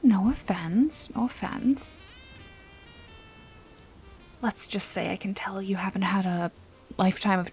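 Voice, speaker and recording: A woman playing a wry, bossy detective-bodyguard in an intimate noir ASMR roleplay, with a teasing, casually threatening manner and a breathy voice that often drops toward a whisper. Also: medium pitch, full character voice.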